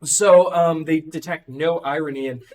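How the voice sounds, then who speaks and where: A hoarse man losing his voice, running a tabletop game and narrating with many ums and restarts. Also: fairly high pitch, fairly even intonation, a little mellow. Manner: halting, full of ums and likes. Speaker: game master.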